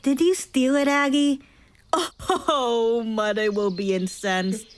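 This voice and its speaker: A woman's voice: fairly high pitch, steady tone, slow pace, slight background noise. An actress playing a prissy, sarcastic, complaining young witch in a period audio drama, snippy and haughty. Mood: shocked, gleeful.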